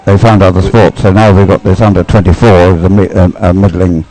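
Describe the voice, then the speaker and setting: Low, mellow male race commentator, hesitant. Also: level tone, fairly quick, soft background music, poor audio. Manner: hesitant, rambling, stop-start delivery. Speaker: live sports commentator.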